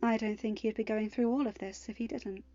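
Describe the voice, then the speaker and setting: A woman voicing a protective, regal goddess mother who speaks in a measured, firm and dryly amused way, in a storybook reading. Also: fairly high pitch, steady tone, warm tone, muffled recording, lightly acted. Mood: gently amused.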